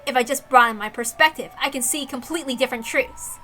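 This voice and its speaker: Woman acting as young female detective, very sharp high voice, proud, intense, theatrical, visual novel drama. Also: faint music behind. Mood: moved, reverent. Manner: theatrical, deliberate, dramatic monologue delivery.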